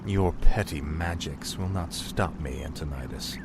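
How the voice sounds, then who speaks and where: An actor playing a fallen prince turned death knight in a video game, brooding, blunt and arrogant. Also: male voice, very deep voice, a little slow, heavy background noise. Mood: defiant. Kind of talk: scripted video game cutscene acting. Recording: game music behind the voice.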